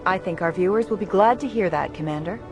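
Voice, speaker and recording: An actress plays a pushy television reporter in a sci-fi game. She questions in a polished, needling way at a medium pitch, with clear music behind. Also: quick pace, natural voice. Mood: probing. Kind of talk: video game acting as news interview.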